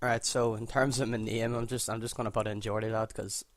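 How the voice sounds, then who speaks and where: A Northern Irish man chatting over a football game in a level, even tone with little rise and fall. The sound is close and dry, with no room around his voice. He thinks out loud, restarts sentences and mends them as he goes. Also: lowish voice, brisk, no echo. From Northern Ireland. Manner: relaxed, thinks aloud, self-correcting as he goes. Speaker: gaming video creator. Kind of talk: casual gameplay commentary.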